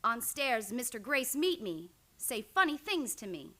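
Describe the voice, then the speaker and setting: A woman performs a fiery frontier wife in an old-time radio western. Her voice is bright and fairly high, and she speaks in short, blunt, broken English, proud and unafraid. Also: sing-song, a little slow, exaggerated voice. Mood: blunt, upset.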